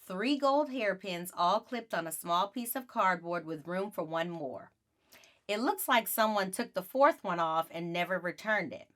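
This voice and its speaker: Animated woman reading a children's book. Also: mid-range voice. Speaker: read-aloud narrator. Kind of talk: expressive children's book narration.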